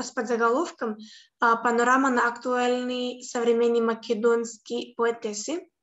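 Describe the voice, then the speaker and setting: A young woman giving an online lecture from a home room on a video call, measured and a little hesitant, with fillers and self-corrections. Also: a little high, muffled recording. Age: young adult. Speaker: lecturer on Slavic poetry. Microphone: webcam on video call. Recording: echoey room.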